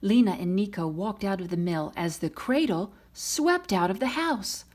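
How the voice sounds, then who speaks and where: A woman reading a children's storybook aloud in an expressive, dramatic manner. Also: mid-range voice, normal speed. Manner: dramatic, voices the characters' lines. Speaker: storybook reader.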